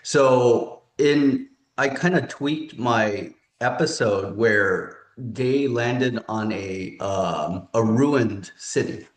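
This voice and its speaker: A man's voice: medium pitch, drawn-out words, few pauses. A man in his forties from California, a podcast guest chatting casually, rambling and correcting himself with many fillers. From California, United States. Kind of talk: casual interview chat. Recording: roomy echo.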